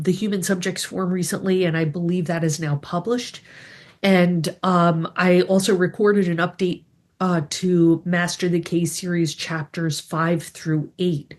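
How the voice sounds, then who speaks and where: A woman with a stuffy, congested voice from a cold, hosting a members' video call about grant writing and chatting through updates with many ums. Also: fairly low pitch. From New England. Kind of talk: casual video call briefing.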